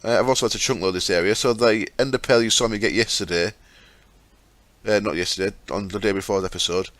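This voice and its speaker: A man hosting a gaming channel, explaining his setup step by step in a chatty way with fillers, his throat sore and croaky. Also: lowish voice, fairly even intonation, fast talker, a bit bright, no echo. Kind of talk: casual game commentary.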